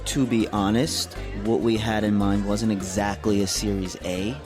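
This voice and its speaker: A man's voice: lowish voice, steady tone, normal speed, music in the background, close to an everyday voice. An actor playing a guarded, businesslike male internet company chief in an audio drama. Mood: polite, guarded.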